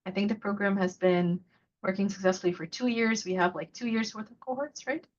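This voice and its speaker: A woman's voice: medium pitch, a little fast. Chatty woman presenting over a video call. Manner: chatty, loose with many fillers and restarts. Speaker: faculty mentor and local coordinator. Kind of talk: presenting a virtual workshop. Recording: slightly dull video call audio.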